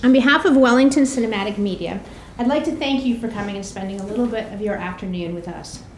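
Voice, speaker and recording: A woman's voice: medium pitch, fast talker, a little hiss or hum. A middle-aged woman presenting a prepared introduction, telling stories in an articulate, wry and slightly theatrical way. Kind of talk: prepared introductory speech with storytelling.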